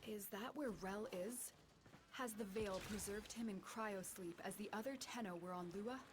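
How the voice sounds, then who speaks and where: A woman playing a composed, authoritative guide in a game drama, giving measured, clinical instruction. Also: mid-range voice, background noise, lightly acted. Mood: firm.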